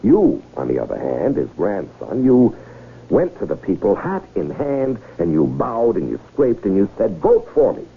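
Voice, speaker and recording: An actor playing a smooth-talking, goading male swindler in 1970s radio drama style, rhetorical and needling, with dramatic pauses. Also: on the low side, huge pitch swings, exaggerated voice. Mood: accusing, theatrical. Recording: muffled 1970s radio broadcast recording.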